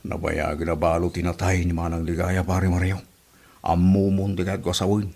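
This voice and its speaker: An actor with an Ilocano accent playing an excitable, lovestruck married tricycle driver in a radio comedy drama, talking in an exaggerated, smitten way. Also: male voice, sits low, moderate pace, creaky.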